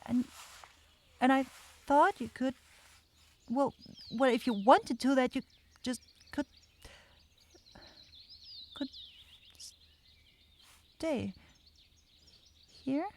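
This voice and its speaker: A woman's voice: high-pitched, very slow, pauses often, a little hiss or hum, full character voice. A woman voicing a sweet, clumsy forest witch in a fantasy ASMR roleplay, stammering and hesitant as she talks. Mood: nervous, hopeful. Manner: stammering, hesitant, breaks off and repeats words.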